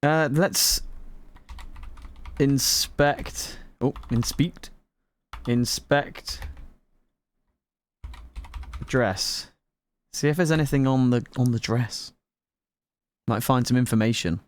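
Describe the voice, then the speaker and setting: A gaming vlogger giving casual, excitable playthrough commentary with playful asides and exclamations. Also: medium pitch, a little slow, pauses often. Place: indoors at a computer.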